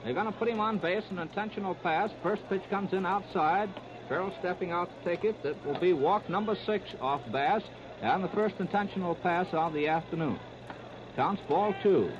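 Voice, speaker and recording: A male baseball announcer gives a live 1930s radio play-by-play in a fairly high voice. He narrates in short, clipped, present-tense phrases. The old recording is muffled, with clear hiss and noise over it. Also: normal speed.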